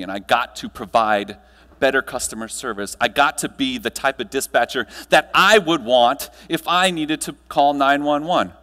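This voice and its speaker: An American man delivering a rehearsed personal-story keynote as a motivational speaker on stage, speaking in deliberate, emphatic short phrases. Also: medium pitch, pushed and bright. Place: large indoor hall, speaking on stage. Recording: echoey hall with mains hum.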